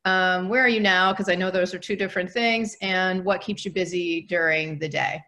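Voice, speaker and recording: A woman's voice: fairly low pitch, fairly even intonation, normal speed, sharp tone. A woman hosting an interview podcast, speaking in an upbeat, informal, conversational way. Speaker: podcast host.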